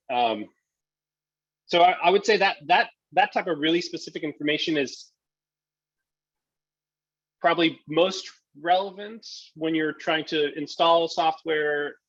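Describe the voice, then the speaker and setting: Low-voiced man thinking aloud, slightly dull audio. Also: fairly bright tone, no echo. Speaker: presenter and software developer. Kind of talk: casual tech talk answering audience questions.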